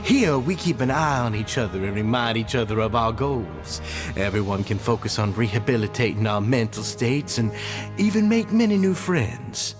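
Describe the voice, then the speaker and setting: A man's voice: medium pitch, expressive, normal speed, music in the background, lightly acted. An actor playing an earnest, courteous man who heads a prisoners' society, speaking formally and deferentially with idealistic fervor. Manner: formal, deferential and idealistic, sing-song emphasis. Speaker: society head. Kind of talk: video game dialogue.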